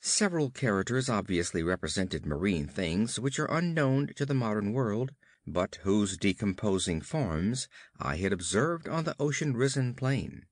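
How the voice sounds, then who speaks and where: A man's voice: fairly low pitch, animated, normal speed, dry recording, clean studio sound. A man reading a horror story as an audiobook narrator, with formal, theatrical emphasis and dramatic delivery.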